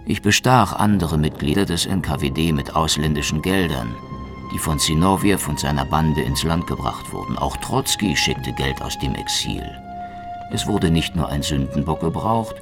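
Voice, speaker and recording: Very deep, gravelly hardboiled Soviet agent. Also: male voice. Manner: deliberate, formal recital. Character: hardboiled Soviet secret police agent, cynically confessing. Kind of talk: radio drama monologue.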